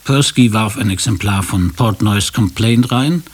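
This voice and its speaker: An actor narrating a comic radio play as a detached storyteller, measured and matter-of-fact. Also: male voice, fairly low pitch, noisy background, lightly acted. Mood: detached narration. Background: music.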